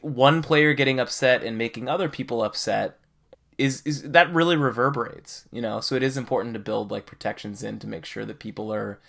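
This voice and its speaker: A man with a bright, forceful voice of average pitch hosts a casual podcast discussion. He talks conversationally and reflectively, often tagging his points with a quick check for agreement. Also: creaky. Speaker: podcast host.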